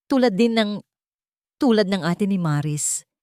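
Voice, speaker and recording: A woman's voice: mid-range voice, lively intonation, a little slow, mellow, dry recording, studio quality, close to an everyday voice. A woman voicing an exhausted, hurt wife and mother who pleads emotionally to be understood in an audio love story. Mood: upset, pleading.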